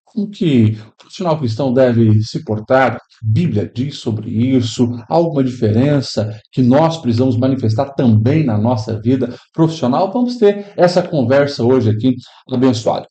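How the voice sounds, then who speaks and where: A man's voice: medium pitch, expressive, normal speed, few pauses, warm tone. Upbeat man hosting a faith podcast. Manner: upbeat, welcoming, churchy greetings. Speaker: podcast host, pastor. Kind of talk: podcast hosting, warm church greeting. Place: improvised room at a pastors' convention.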